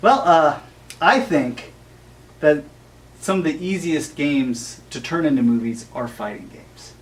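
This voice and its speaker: A man's voice: fairly high pitch, a little slow, recorded from a distance. A man co-hosting a video game show, talking casually about games and movies. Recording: recorded away from the mic.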